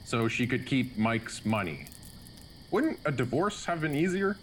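A man's voice: medium pitch, big pitch swings, unhurried, a little hiss or hum. A man playing the narrator of a student radio comedy, telling the story in a dry way and wanting the spotlight. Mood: narrating. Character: smug narrator who wants the spotlight.

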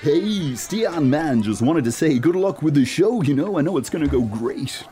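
A man acting a mellow, chummy pop star. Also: on the high side, expressive, normal speed, lightly acted. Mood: friendly. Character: laid-back, friendly male British pop star, chatty and rambling. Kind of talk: amateur radio play acting.

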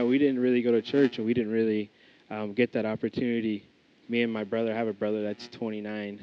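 A man's voice: medium pitch, muffled recording. A young American man giving an informal sermon, reading scripture, praying and telling his own story in a casual, rambling way with many fillers and restarts. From United States. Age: young adult. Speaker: student preacher. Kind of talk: informal sermon with prayer and testimony.

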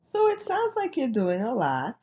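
A woman hosting an interview podcast, friendly and quick to laugh. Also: on the high side, wildly swooping pitch, a loud crowd. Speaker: podcast host. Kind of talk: casual interview chat.

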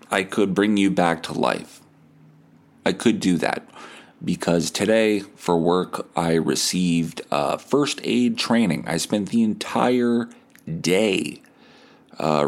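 A man hosting a podcast, delivering a rambling comedic monologue in a sardonic, foul-mouthed, mock-boastful way. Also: sits low.

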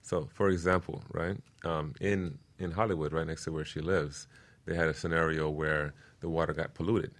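A man's voice: rumbling low, flat delivery, moderate pace, few pauses. A man speaking as an expert guest in a casual interview, explaining practical things earnestly and step by step, often checking in with "right?". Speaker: guest permaculture designer explaining his work.

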